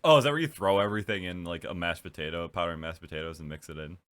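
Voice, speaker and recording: A man's voice: deep voice, rapid-fire, a bit bright, smooth. A young man with a stuffed-up nose hosting a casual chat, rambling with lots of fillers and giggles. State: stuffed up from allergies. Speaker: podcast host.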